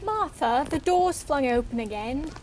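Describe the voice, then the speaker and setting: A woman's voice: high voice, lively intonation, a little slow, heavy background noise. A young woman playing a caring, bustling mother getting supper ready for her family. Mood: homely. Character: caring poor mother and wife. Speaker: student actor.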